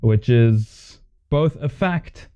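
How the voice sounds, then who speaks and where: A man's voice: mid-range voice, animated, very slow, smooth, highs cut off. A man co-hosting a podcast in casual banter, his voice slightly scratchy and nasal from an oncoming cold. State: coming down with a cold. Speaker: podcast co-host.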